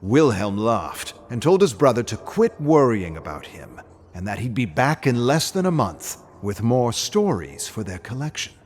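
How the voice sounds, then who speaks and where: A man narrating a scripted fairy tale as a storyteller, with a dramatic, measured delivery. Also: mid-range voice, a little mellow, slight background noise.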